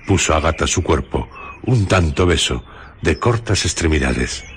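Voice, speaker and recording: A man narrating a scripted radio drama in a measured, literary way. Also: very deep voice, lively intonation, fairly bright tone, a little hiss or hum.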